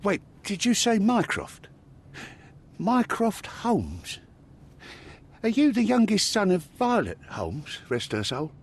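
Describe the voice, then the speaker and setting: A scornful, sneering police officer in a detective game. His voice is husky and fairly high, and it moves a lot in pitch as he jeers. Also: huge pitch swings, slow pace, long pauses, full character voice. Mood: curious. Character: gruff, contemptuous male police officer.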